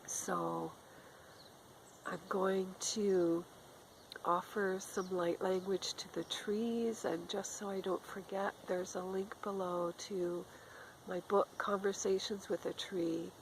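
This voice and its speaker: A middle-aged woman with a cold talks softly outdoors in the woods, her voice raspy and gravelly and often dropping to a whisper. She speaks very slowly and gently, in a calm spiritual tone. Faint outdoor sounds and a crow can be heard behind her. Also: medium pitch, slight background noise, low-quality recording. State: has a cold. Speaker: spiritual channeler. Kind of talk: slow, gentle spiritual talk to camera. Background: faint outdoor ambience with a crow.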